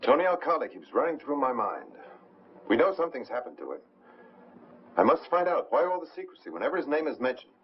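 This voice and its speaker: An actor playing a suave, restless, determined amateur sleuth in a 1950s American film style. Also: male voice, mid-range voice, big pitch swings, fast, close to an everyday voice. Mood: troubled, insistent. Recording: muffled, noisy old 1950s film soundtrack.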